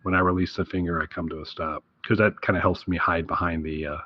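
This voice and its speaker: A man's voice: deep voice, fairly even intonation, quick pace, no echo, dull audio. A man co-hosting a podcast in loose, casual chat. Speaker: podcast co-host.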